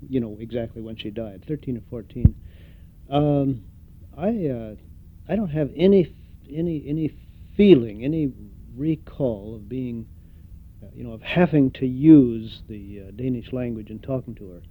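An older man giving an oral history interview, reflective and hesitant, pausing often with fillers. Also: mid-range voice. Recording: tinny phone-like recording.

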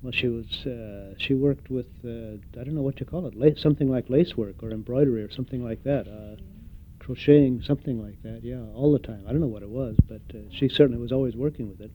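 An older man giving an oral history interview, reflective and hesitant, pausing often with fillers. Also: medium pitch, slight background noise. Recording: tinny phone-like recording.

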